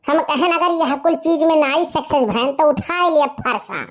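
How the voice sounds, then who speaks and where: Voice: high voice, normal speed. A performer voicing a boastful young village slacker in a comic cartoon story, with a rustic eastern Uttar Pradesh Awadhi accent. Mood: defiant.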